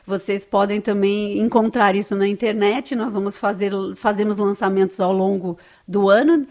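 A woman's voice: mid-range voice, steady tone, normal speed, a little mellow. Brazilian woman, interview guest, earnest and eager, mid-range voice. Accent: Brazilian Portuguese. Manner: earnest, enthusiastic, tag questions. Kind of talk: interview answers.

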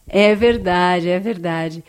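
A woman's voice: fairly low pitch, takes it slowly, smooth. A woman from Brazil with a Brazilian Portuguese accent, speaking as an interview guest in a heartfelt, conversational storytelling way.

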